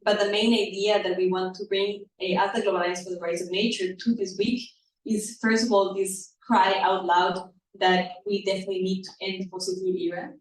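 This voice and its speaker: A woman's voice: mid-range voice, little pitch movement, a little fast. A woman from Ecuador with an Ecuadorian Spanish accent gives an earnest presentation to an audience as a panel speaker, often repeating words as she goes. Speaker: activist, panel speaker at a conference. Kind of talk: public presentation to a live audience. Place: indoor event room. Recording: echoey room, distant mic, background noise.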